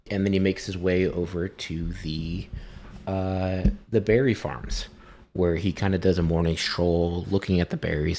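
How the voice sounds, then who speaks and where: A man's voice: sits low, a little mellow, a little hiss or hum. A man in a tabletop game, casually describing his character and piecing ideas together hesitantly as he speaks.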